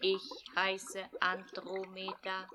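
A woman's voice: medium pitch, monotone, takes it slowly, noisy background, lightly acted. An actress playing a newly created artificial young woman in a science-fiction radio play, reciting short statements like a naive machine. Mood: mechanical, blank.

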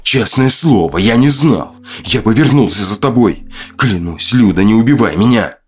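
A man's voice: fairly low pitch, animated, moderate pace, slightly rough, voice pushed up. A man playing a frightened, guilt-ridden man who pleads and bursts out emotionally in a dramatic audio story. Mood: desperate pleading. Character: male protagonist, frightened, guilt-ridden, desperate.

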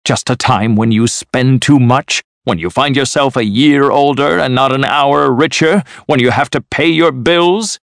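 A man's voice: mid-range voice, a little slow, vocal fry, few pauses, dry recording, studio quality, voice pushed up. A man voicing a hard, bitter old male miser in a storybook, curt and scornful. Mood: angry, scornful. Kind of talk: storybook character voice.

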